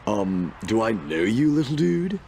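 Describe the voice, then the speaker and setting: A man's voice: on the low side, big pitch swings, measured pace, gravelly, background noise, full character voice. A man voicing a laid-back young petty crook in a cartoon game, drawling in surfer-dude slang. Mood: cocky, laid-back.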